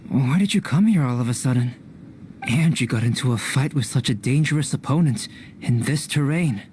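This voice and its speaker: A man voicing a calm, analytical alchemist mentor in a video game, measured and formal, with a caring manner. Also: mid-range voice, slight background noise, natural voice. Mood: questioning. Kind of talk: animated game dubbing.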